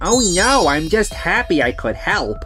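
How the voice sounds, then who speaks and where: Casting: woman as stubborn, prideful sales boss in a manga dub, low register, music behind. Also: sing-song, unhurried, poor audio. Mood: eager, surprised. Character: proud, stubborn sales manager, defensive under pressure.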